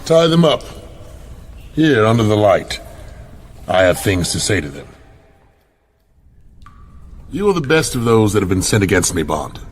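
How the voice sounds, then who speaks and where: A man playing a grandiose, coolly gloating crime boss in a radio drama, with pompous, deliberate menace. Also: on the low side, big pitch swings, a little hiss or hum. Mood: cold menace.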